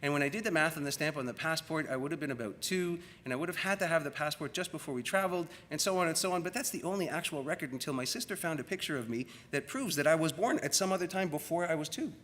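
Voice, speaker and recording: A middle-aged man with a Canadian accent giving a public academic lecture in a reflective, deliberate manner, repeating phrases for effect. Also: on the high side, lively intonation, a bit bright, slight background noise. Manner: reflective, rhetorical questions and deliberate repetition. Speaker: university lecturer in media studies. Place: large room at a university colloquium. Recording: echoey hall with long reverb.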